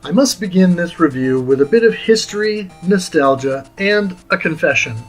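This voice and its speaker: A middle-aged American man reading a scripted review as host, with a theatrical, deliberate delivery. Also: high-pitched, expressive. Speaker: host of a retro game review series. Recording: music under the voice. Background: music.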